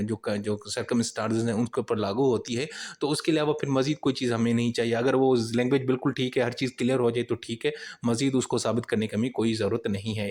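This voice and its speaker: A man with a Pakistani accent teaching as a law lecturer, informally explaining and rephrasing each point in plain words with conversational tags. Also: medium pitch. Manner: conversational tag phrases.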